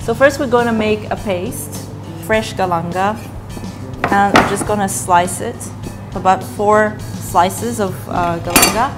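A young woman with a mid-range voice guides viewers through a recipe in a relaxed, step-by-step way. Music plays under her voice, and pans clink in the background. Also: medium pitch, unhurried. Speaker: cooking show host. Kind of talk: cooking demonstration. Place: restaurant kitchen studio, indoors. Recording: studio cooking show with music under the voices. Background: music, clinking pans and cutlery.

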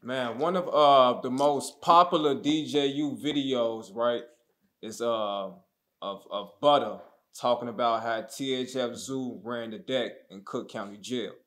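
A man hosting an interview, relaxed and hesitant, speaking a bit off-mic. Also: mid-range voice, unhurried. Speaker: interview host. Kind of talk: casual interview questions.

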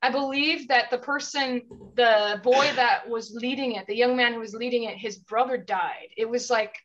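A woman in her thirties hosting a casual interview on a video call, bubbly and quick to chime in with short responses. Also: fairly high pitch, fairly even intonation, a bit bright. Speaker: interviewer, host. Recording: dull lo-fi call audio.

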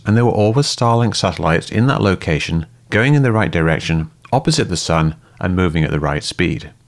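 Brief: low-voiced male narrator, methodical and calm, lively intonation despite the deep pitch. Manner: calm, methodical, step-by-step explaining. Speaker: host and debunker. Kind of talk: scripted explanatory narration.

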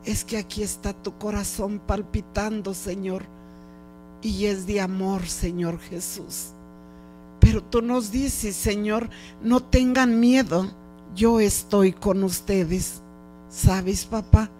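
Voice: medium pitch, fairly even intonation, slow pace, darkish tone, poor audio. A prayer leader speaking a fervent, improvised devotional prayer in short, pleading, repeated phrases. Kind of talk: fervent extemporaneous spoken prayer. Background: music.

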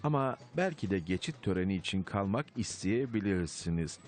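A man's voice: deep voice, lively intonation, moderate pace. A man voicing a kindly, mild, fatherly older rabbit in a children's cartoon dubbed in Turkish. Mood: gently advising. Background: music.

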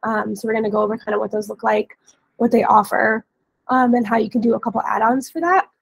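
A woman in her thirties, hoarse and scratchy from a cold, giving a casual software training webinar over a headset. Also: a little high, fairly even intonation, fast, dry recording. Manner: casual, explanatory. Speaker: webinar trainer, dealer support staff. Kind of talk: informal software training walkthrough. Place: indoor room, on a video call.

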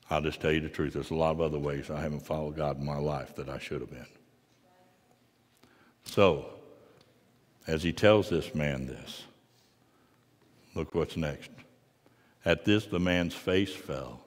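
A middle-aged man preaching a sermon in a large church sanctuary, moving from quiet asides to loud, fervent passages. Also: extremely low pitch, long reverb tail. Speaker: pastor.

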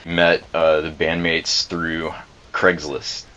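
A man from Atlanta, a guitarist, describing things in a measured way with frequent pauses, in a music studio. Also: very deep voice, completely flat delivery, sharp tone, muffled recording, slight background noise. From Atlanta, USA. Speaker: band guitarist. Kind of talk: casual interview talk.

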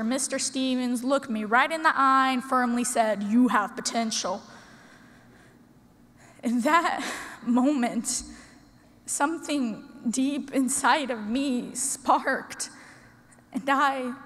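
A sixteen-year-old girl from Russia giving an earnest, prepared speech at a podium in a large conference hall, with deliberate dramatic pauses. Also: a little high, fairly bright tone. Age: teens. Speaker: student speaker. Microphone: podium microphone. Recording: long hall reverb.

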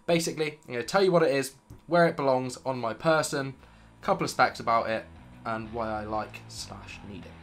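Croaky enthusiastic British man. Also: mid-range voice, fairly quick, fairly bright tone, faint music behind. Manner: chatty, enthusiastic, slangy. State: croaky from illness. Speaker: vlogger. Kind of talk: casual gear review to camera. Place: dim home studio room, indoors.

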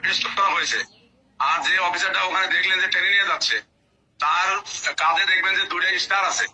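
A Bangladeshi man with a high voice speaks over a clearly noisy phone recording. He sounds like an official setting the record straight, defensive and insistent. He explains step by step and repeats himself to press his point. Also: pushed and bright. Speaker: police station officer-in-charge.

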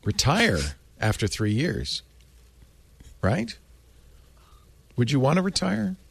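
A man hosting a casual panel podcast, thinking out loud in a playful, expressive way. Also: a little high, slow, vocal fry, husky, no echo. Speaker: podcast host.

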